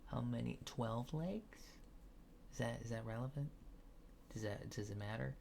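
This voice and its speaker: A man doing a softly spoken, often whispered ASMR roleplay as a mild-mannered alien space agent, murmuring hesitantly and casually. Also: mid-range voice, measured pace, vocal fry, a little hiss or hum. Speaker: ASMR creator playing an alien abductor.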